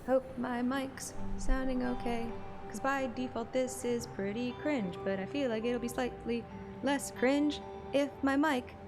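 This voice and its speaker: A woman's voice: a little high, fairly even intonation, a little slow, music in the background. A woman narrating a story like an audiobook, with casual streamer asides. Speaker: streamer reading a game story aloud.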